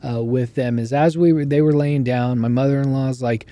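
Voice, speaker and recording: Hoarse, mellow-voiced male podcast host. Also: mid-range voice, normal speed. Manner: animated storyteller who laughs while telling. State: hoarse, voice going out. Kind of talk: casual interview chat.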